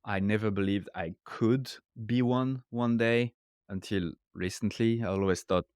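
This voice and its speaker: A man's voice: on the low side, steady tone, measured pace, darkish tone, clean tone, dry recording, clean studio sound. A man from France with a French accent, English not his first language, explaining ideas casually as a podcast guest and often checking in with "right?". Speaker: author and guest. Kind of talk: casual interview talk.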